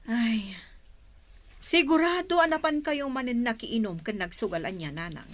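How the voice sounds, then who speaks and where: An actress playing an exasperated but dutiful grown daughter and concerned sister in a radio drama. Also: female voice, on the high side, normal speed, close to an everyday voice. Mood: exasperated, scolding.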